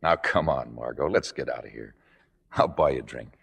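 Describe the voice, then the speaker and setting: An actor playing an exasperated, plainspoken boyfriend with a firm, earnest manner in vintage radio drama style. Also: male voice, a bass voice, normal speed, creaky, slightly rough, heavily acted. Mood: weary, pleading. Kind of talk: 1950s radio drama acting. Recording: old radio broadcast in reverberant hall.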